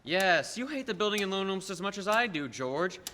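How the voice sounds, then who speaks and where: A young man acts out a rich, scheming businessman for a radio play. His male voice is sharp and fairly high, smooth-talking yet domineering and patronizing. It carries through a reverberant hall with faint noise behind it. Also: animated. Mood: condescending, persuasive. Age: young adult. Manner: patronizing, persuasive, deliberate emphasis. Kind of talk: filmed radio play acting. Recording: echoey hall, background noise.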